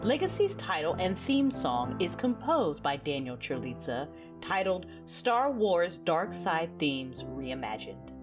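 A woman's voice: low voice, sing-song, slow, hardly any pauses. A woman podcast announcer reading a scripted outro and credits, addressing listeners directly. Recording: music under the voice.

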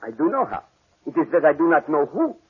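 A formal, cocky french-accented sleuth, old radio play, highish lively voice, scratchy narrow-band sound. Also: male voice, brisk, slightly rough, exaggerated voice. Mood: self-assured. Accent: French-accented English. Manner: formal, precise, theatrically polite. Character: courteous, fussy, self-assured foreign male detective. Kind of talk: 1940s radio drama acting. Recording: muffled, scratchy 1940s radio recording.

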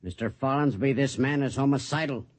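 A man's voice: mid-range voice, brisk, no echo, lightly acted. A man playing a commanding, gnarled security officer, curt and authoritative, in a 1950s radio drama. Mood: grave, explanatory.

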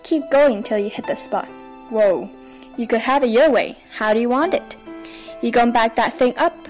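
A woman's voice: on the high side, moderate pace, a little mellow. A woman co-hosting a podcast, doing a dramatic spoken reading of rap lyrics. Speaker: podcast co-host. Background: faint music.

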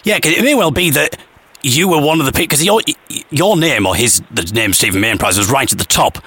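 Animated, high-pitched man on a call. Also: a little fast, breathy edge, no room sound. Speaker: interviewer tracing people from an old tape. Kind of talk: casual investigative phone chat.

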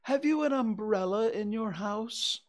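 A storyteller voicing a gentle, slow-witted male toy bear who talks slowly and stammers. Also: medium pitch, pitched above the performer's own voice, close to an everyday voice. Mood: anxious. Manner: slow, ponderous, hesitant with stammers. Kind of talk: storybook reading.

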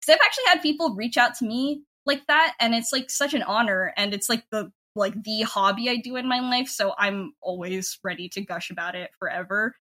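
A woman's voice: fairly high pitch, fairly quick, hardly any pauses, bright, forceful tone. A woman in a casual interview, talking effusively and informally as a creator, rambling a little as she goes. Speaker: podcast guest, audio drama creator.

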